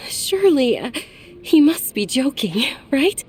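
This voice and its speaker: An actress voicing a gentle, timid, courteous young lady companion in a video game, speaking hesitantly. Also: high-pitched, very animated, a little slow. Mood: nervous. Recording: music playing under the voice.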